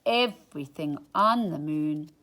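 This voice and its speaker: A woman reading a children's picture book aloud in an expressive, dramatic storytime style. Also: low voice, a little slow. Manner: dramatic, drops into hushed whispers for suspense. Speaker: storytime reader.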